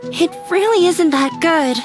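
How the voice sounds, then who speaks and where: A woman's voice: very high-pitched, measured pace. An actress playing a timid young woman who stammers and hesitates nervously. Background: game music.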